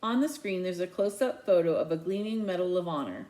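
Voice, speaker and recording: A man's voice: high voice, animated, a little fast, a little mellow. A woman voicing a resentful, sarcastic middle-school boy in a children's novel read aloud. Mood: bitter, sarcastic. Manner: indignant, sarcastic.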